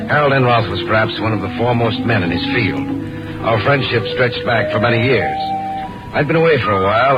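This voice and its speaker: A grave scientist of 1950s radio drama, played by a male actor, speaks in a low, deliberate, ominous voice. The sound is dull and muffled like an old broadcast, with loud music behind. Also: close to an everyday voice. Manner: solemn, deliberate, ominous storytelling. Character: grave male scientist narrating an eerie horror tale.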